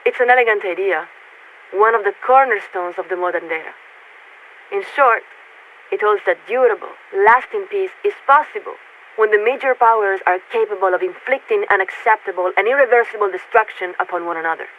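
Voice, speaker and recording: An actress playing a formal, detached warship officer who speaks for a committee in clipped, measured phrases through a radio filter in a video game. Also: female voice, medium pitch. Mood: measured, lecturing. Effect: radio transmission filter. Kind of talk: scripted video game voice acting. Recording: tinny, narrow-band radio with static.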